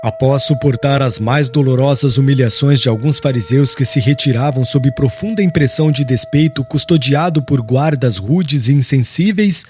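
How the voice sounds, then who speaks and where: A man's voice: mid-range voice, moderate pace, few pauses. A man narrating an audiobook in a formal, literary and dramatic scripted style. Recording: soft music underneath.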